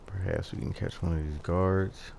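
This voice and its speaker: A man's voice: low-pitched, fairly even intonation, normal speed, creaky, a little mellow, a little hiss or hum. A man giving casual commentary while playing a game. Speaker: gamer narrating own playthrough.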